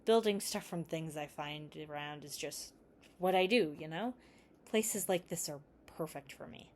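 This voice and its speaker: A woman's voice: low voice, a little slow, slight background noise. A friendly young ninja builder, read by a woman in a storybook style. He talks casually and a little hesitantly, with a modest, sheepish manner. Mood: casual explaining.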